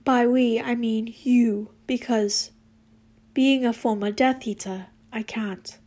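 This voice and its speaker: A woman's voice: on the high side, fairly even intonation, takes it slowly, warm tone. A woman voicing a stern, exasperated man in a story, curt and commanding. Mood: resigned. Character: stern, seething adult man, demanding and exasperated.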